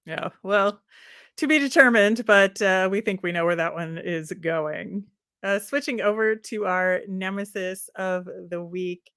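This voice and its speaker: A woman's voice: mid-range voice, measured pace, a bit bright, smooth, no echo, clean studio sound. A woman co-hosting a sports podcast, talking casually and conversationally, often hedging with little asides.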